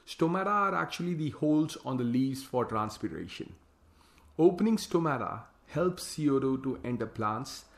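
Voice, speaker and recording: A man talks through a slide presentation, reading each slide aloud and then adding his own plain explanations. His voice sits at an ordinary middle pitch. The recording carries a noticeable roomy echo. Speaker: hydroponics farm consultant presenting slides.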